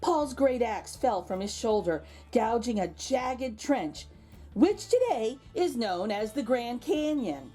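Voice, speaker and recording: A woman reading a picture book aloud to children as an expressive storyteller, with exclaimed asides to her young listeners. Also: fairly high pitch, slow, faint music behind. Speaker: children's read-aloud host.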